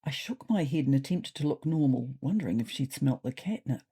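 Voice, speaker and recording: A woman's voice: rumbling low, lively intonation, fairly quick, warm tone, slightly rough, exaggerated voice. A woman voicing a wry, self-important male cat telling his diary in a dry, mock-serious way for a comedy story.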